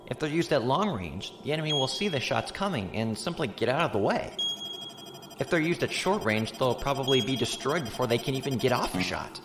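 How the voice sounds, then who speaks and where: An actor playing a candid, informal tactical analyst in a science fiction audio drama, explaining things casually. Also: male voice, medium pitch, noisy background, close to an everyday voice. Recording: echoey room, faint background ambience.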